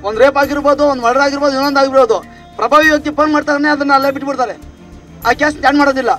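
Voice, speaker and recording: A man's voice: very high voice, fairly even intonation, very fast, a bit bright, faint music behind. A man voicing a complaint in a street interview, insistent and repetitive. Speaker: member of public interviewed.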